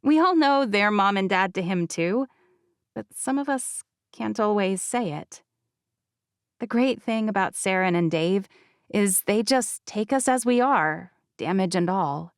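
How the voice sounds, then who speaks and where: A woman narrating a first-person novel as an audiobook, with expressive, wry delivery. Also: mid-range voice, unhurried, no echo, clean studio sound.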